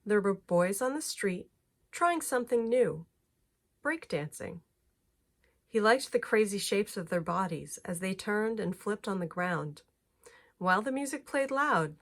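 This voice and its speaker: Animated woman reading a children's storybook. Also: mid-range voice, measured pace. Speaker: teacher reading a picture book aloud. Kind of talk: expressive children's storybook read-aloud.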